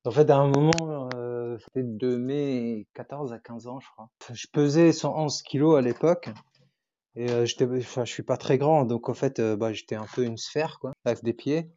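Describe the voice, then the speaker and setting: A man's voice: mid-range voice, normal speed, warm tone, highs cut off, slight background noise. A young man from Tunisia whose first language is Arabic, chatting as a podcast guest and hesitating often as he searches for words. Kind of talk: casual interview chat.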